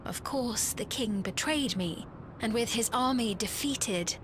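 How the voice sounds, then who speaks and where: An actress playing a gentle, sorrowful young queen in a video game, speaking softly and formally with regret. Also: a little high, a little slow, background noise, natural voice. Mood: resigned sorrow. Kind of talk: dramatic video game acting.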